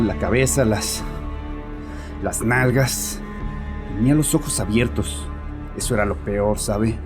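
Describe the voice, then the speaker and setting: A man's voice: lowish voice, lightly acted. An actor playing a bitter, harsh, troubled father confessing to a therapist in an agitated, defensive way in a horror audio drama. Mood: haunted. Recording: voice over background music.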